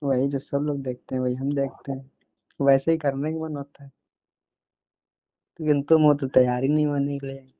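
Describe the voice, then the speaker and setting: A man's voice: mid-range voice, little pitch movement, moderate pace, pauses often, dry recording. A man chatting casually and intimately on a phone call, in a coaxing, teasing manner. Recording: tinny, band-limited phone call recording.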